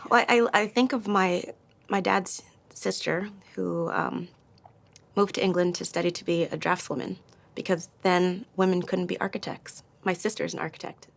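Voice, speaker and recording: A woman in her forties with a somewhat low, gravelly voice. She talks in a measured, self-assured way, sharing her views in a relaxed conversation. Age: 40s. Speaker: interviewee and interviewer. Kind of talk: candid conversation.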